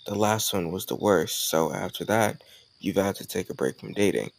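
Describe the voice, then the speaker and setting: Male actor as cocky college charmer, young, low creaky voice, casual teasing delivery, romantic audio roleplay. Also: moderate pace. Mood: teasing, curious. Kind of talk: scripted romantic audio roleplay addressed to the listener.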